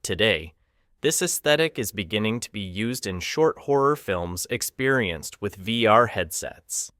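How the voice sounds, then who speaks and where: A man narrating a documentary from a script, with a measured, ominous delivery. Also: fairly low pitch, fairly bright tone, dry recording, studio quality.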